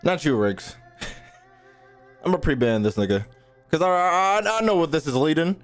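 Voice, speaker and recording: A young man playing a video game and reacting loudly, with crude jokes and slang. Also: on the high side, lively intonation, a little slow, smooth, faint music behind. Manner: loud, crude, joking slang. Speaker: gaming YouTuber. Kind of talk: let's play reactions.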